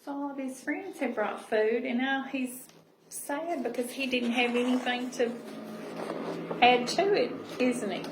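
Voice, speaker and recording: A woman reading a children's picture book aloud and pausing to explain with tag questions. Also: sits high, fairly even intonation. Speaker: library storyteller. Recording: roomy echo, clear background noise.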